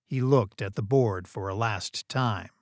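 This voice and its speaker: A man's voice: on the low side, measured pace, no echo, studio quality. A man reading scripted third-person story narration as the narrator.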